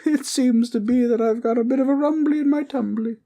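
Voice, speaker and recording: Very high, cutesy teddy bear. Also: male voice, a little fast, warm tone. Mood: cheerful, curious. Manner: bubbly, polite, childlike chatter. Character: adorable, innocent, cuddly little male teddy bear. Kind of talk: cartoonish comfort roleplay audio.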